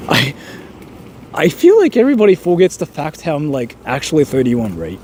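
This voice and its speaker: A man's voice: on the high side, huge pitch swings, moderate pace, darkish tone, slight background noise. A man, chatting casually on a live stream and reading viewer donations, rambling and joking with lots of "like.". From Japan. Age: 30s. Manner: rambling, joking, heavy use of "like".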